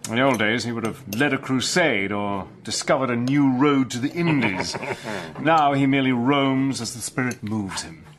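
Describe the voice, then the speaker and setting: An actor playing a steady army major in a radio drama, measured and earnest, also narrating. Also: male voice, mid-range voice, crowd noise, lightly acted. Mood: resolute.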